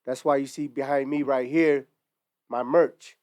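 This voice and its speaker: A man's voice: mid-range voice, fairly even intonation, a little slow, darkish tone, dry recording, studio quality. A man speaks earnestly to camera. He tells stories in a reflective way and uses casual street slang. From Utah, United States. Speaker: merch brand founder. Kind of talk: earnest personal monologue to camera. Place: indoors in front of merchandise display.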